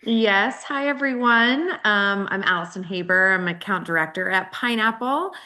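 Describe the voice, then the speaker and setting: A woman's voice: medium pitch, normal speed, bright, forceful tone. A woman introducing herself as a PR account director on a webinar, cheerful, with ums.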